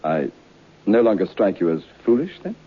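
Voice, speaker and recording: An actor playing a polite, earnest young divinity student in a vintage radio drama, a little hesitant and defensive. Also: male voice, fairly low pitch, big pitch swings, moderate pace. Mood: curious, earnest. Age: young adult. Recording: muffled old radio recording.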